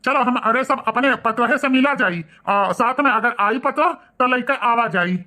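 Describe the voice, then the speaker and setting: A man's voice: very high voice, moderate pace, pushed and bright, full character voice. A man voicing emphatic, argumentative village family men with an Awadhi accent in a cartoon. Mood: excited. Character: village family men, stern old patriarch and grumbling son.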